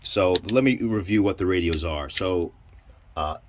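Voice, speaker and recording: A man giving an informal hands-on equipment demonstration, halting and thinking aloud as he goes. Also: low-pitched. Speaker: presenter demonstrating radio equipment. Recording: clear background noise.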